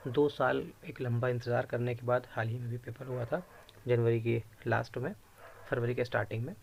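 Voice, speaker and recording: A man talks in an informal explainer style, like a presenter guiding viewers through a document. He repeats and rephrases key points as he goes, at a middling pitch. There is faint noise in the recording. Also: vocal fry, a little mellow. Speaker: YouTube presenter explaining recruitment rules. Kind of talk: informal screen-share explainer.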